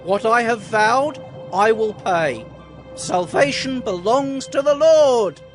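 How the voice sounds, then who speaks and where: A male actor playing a distressed, reluctant prophet with slow, impassioned delivery in a dramatized audio Bible. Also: extremely high pitch, lively intonation, soft background music, exaggerated voice. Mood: anguished prayer. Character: Jonah, distressed, reluctant male Hebrew prophet praying and protesting.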